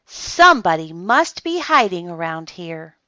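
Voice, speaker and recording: A woman voicing a startled but kindly male night watchman in a children's storybook, loud and questioning. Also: fairly low pitch, very animated, unhurried, dry recording, muffled recording, heavily acted. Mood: surprised.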